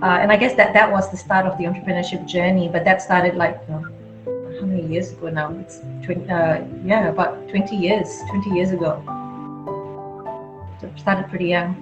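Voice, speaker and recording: A woman's voice: on the low side, steady tone, brisk. A middle-aged woman from Malaysia, an interview guest talking casually and candidly about herself, with self-deprecating asides. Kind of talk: casual conversational storytelling. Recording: roomy dull audio, clear music behind.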